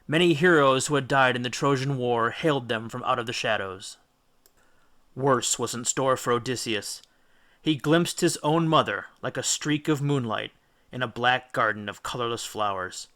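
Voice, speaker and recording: A man's voice: mid-range voice, normal speed, pushed and bright. A man reading a children's audiobook as a dramatic storyteller. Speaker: audiobook reader. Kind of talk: dramatic scripted storytelling narration.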